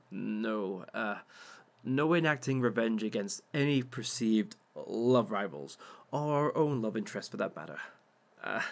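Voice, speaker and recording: Smug villain workshop host, voiced by a man, gravelly, slow, dry teasing tone. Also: medium pitch. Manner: dry, teasing, unhurried, talks to unseen listeners. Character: smug male villain lecturing a dating workshop. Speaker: workshop speaker. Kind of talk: audio roleplay monologue.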